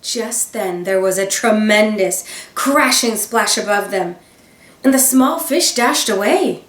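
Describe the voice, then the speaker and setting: A woman teacher reading a children's picture book aloud, expressive, with gasps and dramatic emphasis. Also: mid-range voice. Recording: roomy sound, voice a bit far from the mic.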